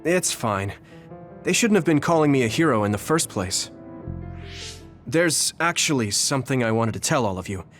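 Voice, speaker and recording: Young male rebel soldier for anime game played by a man: earnest, steady, mid pitch, over music. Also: moderate pace, close to an everyday voice. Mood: somber, resolved. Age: young adult. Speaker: video game voice actor. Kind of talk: anime game cutscene dialogue.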